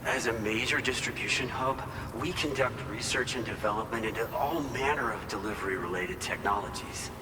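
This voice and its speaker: A man's voice: medium pitch, brisk, few pauses, lightly acted. Echoey, earnest man acting as facility staffer. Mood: earnest, grateful. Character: earnest, grateful male research facility staffer. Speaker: facility staffer at a distribution hub. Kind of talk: scripted game cutscene dialogue. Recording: echoey hall, clear vehicle-like noise.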